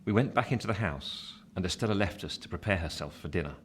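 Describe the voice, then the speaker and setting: A man acting a reflective gentleman who narrates his own youth in a radio drama, measured and literary. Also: low voice, expressive, breathy edge. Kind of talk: scripted first-person narration, radio drama.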